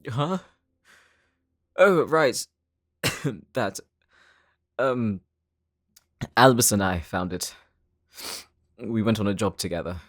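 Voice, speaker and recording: A man's voice: fairly low pitch, dry recording, clean studio sound. An actor playing an earnest, bashful young male demon hunter in an audio roleplay drama, speaking hesitantly. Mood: sheepish. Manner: hesitant, halting.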